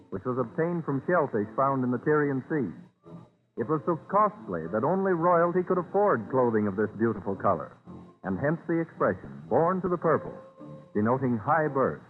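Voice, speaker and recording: A man with an American accent reading formal, oratorical scripted narration as a 1930s radio announcer. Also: mid-range voice. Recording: tinny, narrow-band 1930s radio broadcast.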